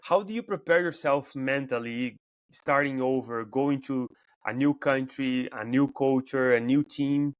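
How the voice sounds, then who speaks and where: A young man from Brazil hosts a relaxed podcast interview in English, his second language, with a clear Brazilian accent. His voice sits at an ordinary middle pitch for a man. He talks haltingly, doubling words and restarting sentences as he gathers his thoughts. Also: no room sound. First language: Portuguese. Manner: halting, repeats words while searching for phrasing. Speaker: podcast host. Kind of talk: casual interview chat.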